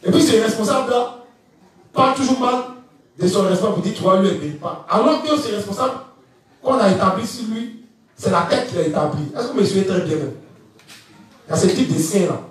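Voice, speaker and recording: A man's voice: high-pitched, quick pace, long pauses. A man in his fifties from Ivory Coast, giving an evening church teaching and often asking whether the listeners follow him. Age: 50s. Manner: animated, laughing, asks the audience if they follow. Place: church hall. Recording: echoey room, mic far off.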